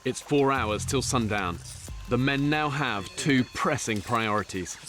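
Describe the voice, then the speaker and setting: A man giving scripted documentary narration in a measured, informative way. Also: mid-range voice. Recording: voice-over with music underneath.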